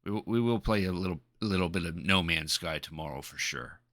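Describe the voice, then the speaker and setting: A man chatting casually as a live streamer, speaking in a hesitant, halting way and often repeating his words. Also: deep voice, a bit bright, no echo, clean studio sound.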